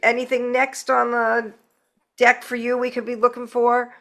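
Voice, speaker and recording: A woman's voice: a little high, completely flat delivery, moderate pace, vocal fry, a bit bright. A woman with a Long Island accent hosting a casual, chatty interview. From Long Island, New York. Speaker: podcast host.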